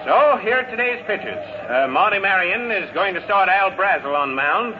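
An actor playing an earnest, folksy radio baseball announcer calling a game in emphatic 1950s play-by-play style. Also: male voice, sits high, animated, normal speed. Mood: announcing. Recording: muffled, noisy old radio broadcast.

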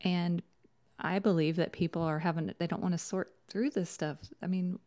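A woman's voice: on the low side, steady tone, moderate pace, a little mellow. A woman co-hosting a casual political chat show, speaking in an informal, opinionated manner. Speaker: talk show co-host.

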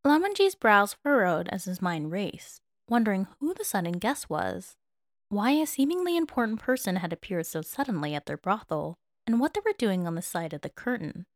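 A woman's voice: mid-range voice, big pitch swings, normal speed, no echo, studio quality. A woman narrating fan fiction as a dramatic audiobook.

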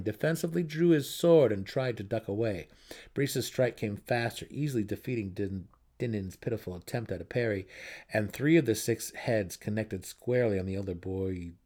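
A man reading a fantasy audiobook as a dramatic narrator, with theatrical, deliberate emphasis. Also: fairly low pitch, mellow.

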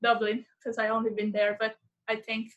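A woman's voice: a little high, monotone, quick pace, highs cut off. A woman in her thirties from Brazil with a Brazilian accent, a fitness instructor talking as a guest over a call, often hesitant and repeating words as she searches for English. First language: Portuguese. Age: 30s. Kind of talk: casual interview chat.

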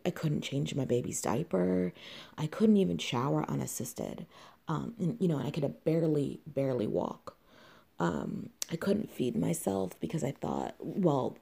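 A woman's voice: low-pitched, creaky, warm tone, breathy edge. A young woman hosting a candid solo podcast, talking with many fillers and restarts and sounding a little nasal from congestion. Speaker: podcast host.

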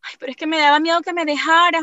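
Actriz haciendo de joven venezolana de voz aguda. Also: female voice, very high-pitched, level tone, racing, bright, forceful tone, no room sound, dull audio. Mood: defensive. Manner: colloquial, exclamatory. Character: nervous, feisty, plain-spoken young Venezuelan woman. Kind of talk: radio soap opera acting.

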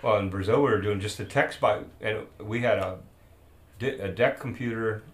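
A man's voice: lowish voice, moderate pace. A man around seventy, raised in South America, chatting casually and rambling through stories with many restarts. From born in Peru, raised in Bolivia. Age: about 70. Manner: rambling, trails off and restarts mid-thought. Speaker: podcast guest. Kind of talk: casual chat, storytelling. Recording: roomy with faint noise.

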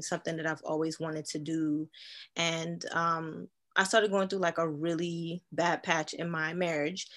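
A woman in her thirties from Trinidad, a relationship coach, talking in a chatty, slangy, animated way as an interview guest. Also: on the low side. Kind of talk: casual interview chat.

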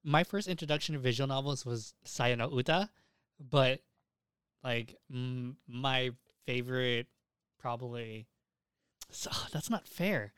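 A man's voice: medium pitch, unhurried, a bit bright, clear, resonant tone, no echo, clean studio sound. A young man chatting casually as a podcast host, emphatic and opinionated, repeating himself and swearing freely.

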